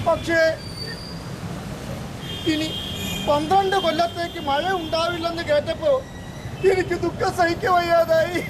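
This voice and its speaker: Man playing a crafty old crane, high and mournful, street show with loud crowd and traffic. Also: little pitch movement, measured pace, long pauses. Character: scheming old male crane feigning grief. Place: outdoor street puppet show.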